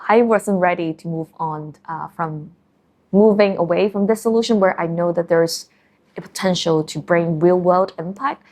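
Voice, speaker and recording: A woman in her thirties from Hong Kong, speaking English with a Hong Kong accent, who talks about her work as a scientist and founder in a measured, thoughtful documentary interview with frequent ums and uhs. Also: fairly low pitch, a little mellow. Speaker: marine scientist and company founder.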